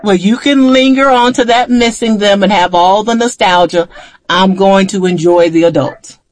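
A middle-aged woman talks casually. She is emphatic and playful, with a medium pitch. The sound is dry and close. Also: moderate pace, no echo, poor audio. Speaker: mother of adult children. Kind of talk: casual conversation.

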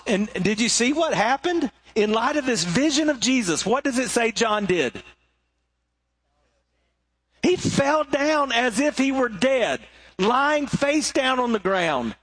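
A middle-aged male pastor preaching a sermon, swinging between rising emphasis and hushed, low moments. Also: no echo.

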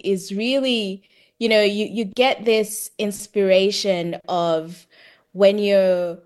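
A middle-aged woman from Zambia answering interview questions as a guest, explaining things reflectively in a conversational, searching way. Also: medium pitch. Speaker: podcast guest, tech project manager and author.

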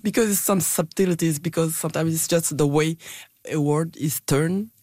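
A woman's voice: low-pitched, normal speed, mellow, no room sound, studio quality. A middle-aged woman from West Africa with a West African French accent, a native French speaker answering interview questions conversationally, searching for words. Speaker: interview guest, election candidate.

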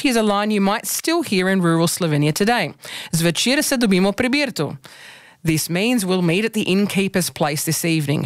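A woman co-hosting community radio, reading a scripted word-of-the-week segment in a measured, unhurried way. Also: mid-range voice, no echo, studio quality. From Australia.

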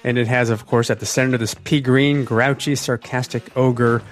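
A man's voice: mid-range voice, steady tone, moderate pace. A middle-aged man hosting a conversational radio interview. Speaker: radio host.

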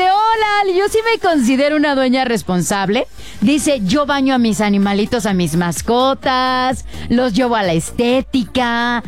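High-voiced effusive Mexican woman radio host. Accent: central Mexican. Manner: effusive, affectionate with listeners. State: congested with a cold or allergy. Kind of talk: chatty live radio presenting. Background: light music bed.

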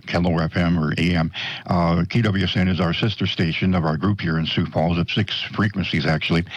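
Older guy, radio host, real low voice, folksy and rambly, kinda dull lo-fi sound. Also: dry recording. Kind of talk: casual radio interview.

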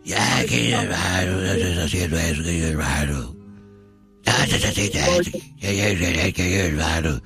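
A man's voice: low voice, moderate pace, creaky, a bit bright, pitched below the performer's own voice. A man mimicking a nagging old Mexican man, pleading repetitively in a droning way with a Mexican accent. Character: mocking imitation of a whiny, nagging old man. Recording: music playing behind the voice.

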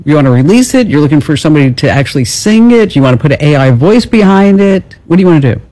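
A man hosting a call-in radio talk show, chatty and upbeat, asking quick follow-up questions. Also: a little high, lively intonation, warm tone, no room sound, poor audio. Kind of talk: call-in tech radio chat and ad read.

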